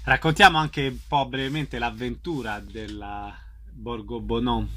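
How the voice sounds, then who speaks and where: A man's voice: mid-range voice, fairly even intonation, measured pace, bright, forceful tone, a little hiss or hum. A man hosting a radio programme, putting questions to guests and introducing items. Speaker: radio host, interviewer.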